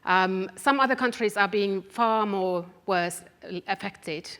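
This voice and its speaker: A woman from Finland with a Finnish accent gives a deliberate public talk as a researcher, addressing her listeners directly and stressing key phrases. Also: mid-range voice, fairly bright tone, smooth. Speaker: academic researcher, public speaker. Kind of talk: public talk to a live audience. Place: event hall, live talk. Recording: echoey hall with long reverb.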